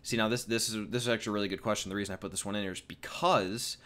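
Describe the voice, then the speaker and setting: A man's voice: on the low side, steady tone, racing, fairly bright tone, smooth. A 21-year-old man casually answering viewer questions in a rambling, jokey way. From United States. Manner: rambling and jokey, with fillers and repeats. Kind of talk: casual Q&A vlog.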